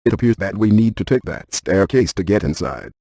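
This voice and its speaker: A man playing a brainy, stiff ghost-hunting scientist in a video game, speaking in a precise, clinical deadpan. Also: low voice, brisk, breathy edge, dry recording, clean studio sound, heavily acted. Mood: deadpan, analytical.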